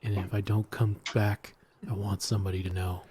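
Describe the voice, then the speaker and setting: A man's voice: on the low side, level tone, a little fast, warm tone, breathy edge. A man voicing an earnest, conspiratorial young rebel confiding in his friend, in an amateur table read of a film script.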